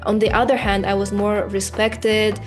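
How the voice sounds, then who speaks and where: A young woman from Kazakhstan whose first language is Russian, speaking English at a mid pitch. She chats easily as an interview guest, with many small fillers and light laughs. Faint music plays behind her. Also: flat delivery, normal speed. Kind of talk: casual interview conversation.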